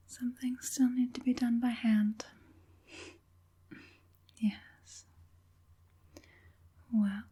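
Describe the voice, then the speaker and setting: An evil witch puppet master, played by a woman in an ASMR roleplay. She whispers very slowly and breathily, with a soft, mellow tone. Her manner is deliberate, calm and eerily soothing. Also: on the high side, slightly rough, heavily acted. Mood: hushed, probing. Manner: slow, deliberate, murmuring assent between pauses.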